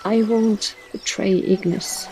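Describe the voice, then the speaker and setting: A woman's voice: mid-range voice, fairly even intonation, takes it slowly, darkish tone, faint music behind. Earnest, guarded young woman, played by an actress. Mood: guarded, pleading. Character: resolute, conflicted young woman resisting a tempter. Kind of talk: audio drama acting.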